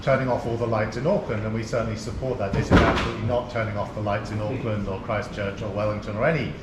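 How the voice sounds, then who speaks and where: A man's voice: on the low side, fairly even intonation, fast. A man who grew up in England and now has an accent between English and American speaks to a conference over a video link. He sounds friendly, laughs often and repeats words as he goes. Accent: mixed English and American. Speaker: nonprofit chief executive. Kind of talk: remote conference remarks. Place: community hall, heard over a video link. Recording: echoey hall with background noise.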